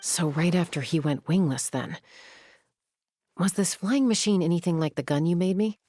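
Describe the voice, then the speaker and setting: Woman acting a low-voiced, composed gunslinger. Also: mellow, no echo, studio quality, soft background music, lightly acted. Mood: thoughtful. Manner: measured, reasoning, cool-headed. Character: composed, thoughtful young female gunslinger investigator. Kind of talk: video game voice acting.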